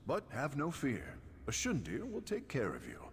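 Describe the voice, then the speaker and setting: An actor voicing a suave, roguish reptilian smuggler merchant in a video game, speaking with flowery, wry courtesy. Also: male voice, medium pitch, huge pitch swings, normal speed, in a large hall, slight background noise. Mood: suave, welcoming. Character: suave, roguish male Argonian lizard-man smuggler merchant. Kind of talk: video game character dialogue.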